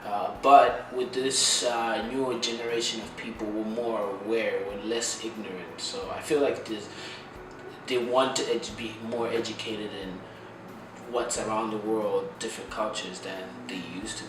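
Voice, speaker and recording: A man's voice: lowish voice, steady tone, normal speed, far from the mic. A young man from Zimbabwe, an international student, answering interview questions thoughtfully with many fillers. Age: young adult. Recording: echoey room, heard from afar with noise.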